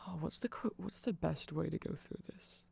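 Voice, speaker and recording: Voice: mid-range voice, normal speed, gravelly, slightly rough. A person giving a rambling, informal and opinionated ASMR-style explanation as a content creator.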